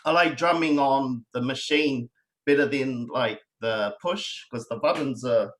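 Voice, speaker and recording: A man in his thirties with a New Zealand accent, chatting casually as a guest about making beats, relaxed and rambling with many fillers. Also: mid-range voice, a little slow. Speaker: beatmaker guest. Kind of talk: casual online chat.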